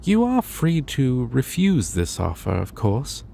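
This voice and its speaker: A man playing a courteous, solemn god of dreams in a fantasy audio roleplay, with formal, deliberate phrasing. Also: medium pitch, huge pitch swings, vocal fry, dark tone, slight background noise, exaggerated voice. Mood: calm, formal.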